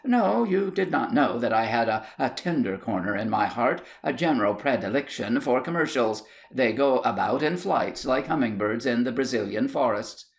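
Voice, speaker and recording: A man's voice: mid-range voice, animated, normal speed, vocal fry, hardly any pauses. A man voicing an indignant, jealous and melodramatic young Victorian wife in a storybook, haughty and exclamatory. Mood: haughty indignation.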